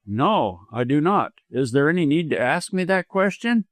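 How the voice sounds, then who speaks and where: Man reading as an earnest, lovestruck gentleman doctor. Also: on the high side, big pitch swings, moderate pace, no echo, studio quality. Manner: earnest, insistent. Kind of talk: dramatized audiobook reading.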